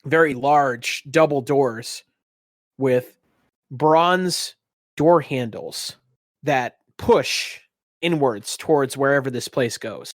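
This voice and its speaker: A man's voice: medium pitch, slow, no echo. Man narrating a tabletop game, dry close sound. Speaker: game master.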